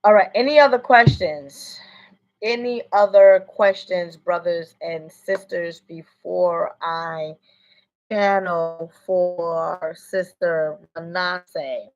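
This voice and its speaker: Slow-talking woman psychic, rambling. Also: on the low side, steady tone, a little mellow, muffled recording. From United States. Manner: rambling, repeats "you know what I'm saying". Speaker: psychic medium. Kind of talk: casual livestream reading.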